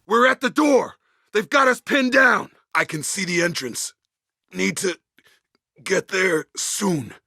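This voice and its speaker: An actor playing a steady, dutiful space operative in a video game, with a clipped, matter-of-fact military delivery. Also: male voice, high-pitched, slow pace, creaky, husky, dry recording, clean studio sound, heavily acted. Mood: urgent shouting. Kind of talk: scripted video game dialogue.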